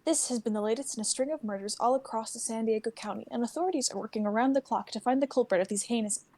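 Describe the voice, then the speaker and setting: A woman's voice: fairly high pitch, quick pace, darkish tone. A young woman playing a serious television news reporter in a dramatic script reading. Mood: urgent, formal. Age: young adult. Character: serious female TV news reporter at crime scene.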